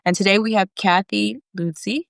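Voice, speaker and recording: A woman's voice: medium pitch, fairly even intonation, moderate pace, vocal fry, no room sound, clean studio sound. A woman hosting a faith podcast, talking in a loose, chatty way with many fillers and restarts. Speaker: podcast host. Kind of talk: casual interview. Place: home abroad, on a remote call.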